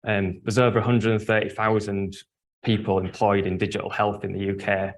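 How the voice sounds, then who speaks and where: A man from Leeds with a clear Yorkshire accent speaks in a low register, keeping his pitch steady and even. His delivery is earnest and explanatory, like a conference talk, with regular ums and small restarts as he works through his points. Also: fairly quick. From Leeds, England. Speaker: speaker at an industry event.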